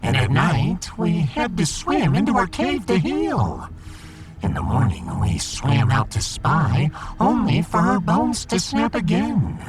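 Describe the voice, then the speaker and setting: A man voicing a pompous, embittered alien fish emperor in a sci-fi audiodrama, with grandiose, theatrical, drawn-out delivery. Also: a little high, wildly swooping pitch, full character voice. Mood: bitterly aggrieved.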